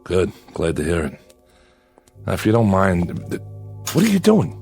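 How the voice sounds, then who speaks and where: An actor playing a lonely, prickly exiled man, gruff and sardonic, in a science fiction audio drama. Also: deep voice, wildly swooping pitch, moderate pace, over music, exaggerated voice. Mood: wary. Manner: gruff, wry, guarded.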